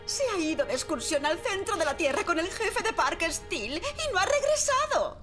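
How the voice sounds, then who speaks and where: A woman's voice: very high voice, big pitch swings, moderate pace, over music. A woman voicing a fussy, protective mother in a cartoon dub. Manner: fussy, doting.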